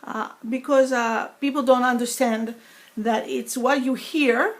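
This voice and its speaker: Woman, middle-aged, foreign-sounding accent, halting slow speech, lots of fillers, fairly high voice. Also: steady tone. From New York. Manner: slow halting speech, many fillers, dropped in-between words. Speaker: vlogger sharing her experience. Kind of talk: personal talk to camera.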